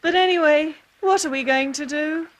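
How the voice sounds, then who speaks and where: A woman's voice: high voice, moderate pace, close to an everyday voice. A woman voicing a naive, kind young man in a children's cartoon, hesitant, earnest and easily astonished. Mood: relieved. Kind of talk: dubbed children's cartoon acting.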